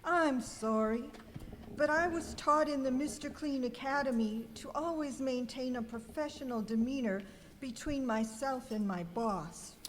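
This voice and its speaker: A woman's voice: sits high, a little slow. A woman playing a prim, demure saleswoman with a secret superhero identity in an amateur radio skit. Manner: prim, formal. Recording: echoey hall with noise and clicks.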